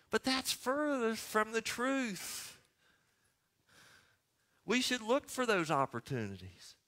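High-pitched, forceful older male preacher. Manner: emphatic, asking and answering his own questions. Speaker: pastor. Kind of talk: sermon preached to a congregation. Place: church sanctuary.

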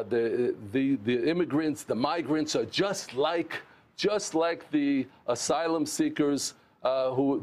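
A man's voice: mid-range voice, a little mellow. A man in his sixties from the United States, a former mayor interviewed remotely on television, speaking earnestly with frequent restarts on a lapel mic. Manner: earnest, halting with many restarts. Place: remote studio.

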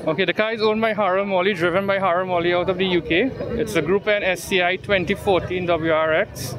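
A man's voice: high-pitched, steady tone, fast. A man with a Trinidadian accent, a rally co-driver explaining facts plainly in an event interview. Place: evening venue, covered area. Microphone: handheld mic. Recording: event with crowd noise.

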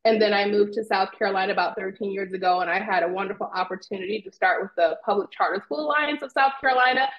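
A woman's voice: mid-range voice, fast, hardly any pauses. Upbeat midwest-born woman, fluent, bit muffled call audio. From Indiana. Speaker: guest, psychology professor. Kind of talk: interview answer. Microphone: video call.

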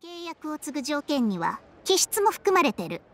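A woman's voice: high-pitched, big pitch swings, moderate pace, soft background music. A woman voicing a worldly, ancient female snake spirit in a game, with a blunt, knowing, familiar way of talking. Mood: resigned. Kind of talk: scripted game dialogue.

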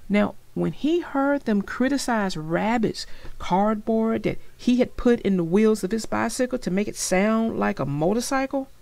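A woman narrating a children's story in an expressive read-aloud style, speaking directly to young listeners. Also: fairly high pitch, gravelly, dry recording. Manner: addresses young listeners directly, explaining the lesson.

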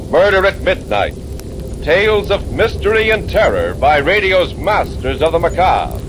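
A man narrating a 1940s radio horror show in a dramatic, ominous and deliberate manner. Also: fairly high pitch, background noise. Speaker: host and narrator. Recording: vintage 1940s radio, music behind.

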